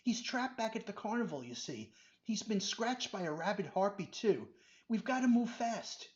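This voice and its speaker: A man's voice: high voice, big pitch swings, normal speed, pitched above the performer's own voice. A man doing a panicked young boy. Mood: frantic. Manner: urgent, breathless pleading. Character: frightened young boy, pleading and frantic. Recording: dull lo-fi recording.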